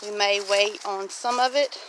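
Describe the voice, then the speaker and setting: Loud outdoor noise with honking geese surrounds a woman of about fifty. She talks slowly at a medium pitch, in a casual, reflective way, as the plain-spoken host of a homestead vlog. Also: fairly even intonation. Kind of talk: casual walk-and-talk vlog.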